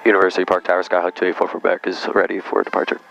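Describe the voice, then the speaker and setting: A man flying solo as a student pilot, carefully reading back clearances to the tower over the radio. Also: low voice, monotone, racing, fairly bright tone, muffled recording, faint music behind.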